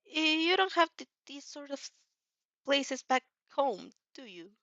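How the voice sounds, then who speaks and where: A woman's voice: sits high, sing-song, unhurried, sharp tone, no echo, pitched above the performer's own voice, full character voice. A woman voicing a shy, kindly teenage boy in a story, hesitant and apologetic. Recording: dry, slightly dull lo-fi recording.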